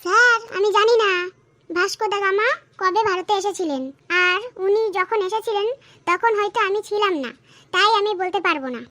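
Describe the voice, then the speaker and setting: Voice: very high-pitched, moderate pace, pushed and bright. A performer voicing a naively cheeky little pupil in a comic cartoon, matter-of-fact with silly excuses.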